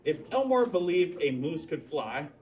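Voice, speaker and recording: A man reads to children at a story time with highly animated, swinging intonation. He addresses his listeners directly with questions. The microphone sits some way from him in a live room. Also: recorded from a distance, low-quality recording. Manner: pauses to ask the listeners questions. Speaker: Santa reading a picture book at a library story time. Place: indoors, library holiday open house.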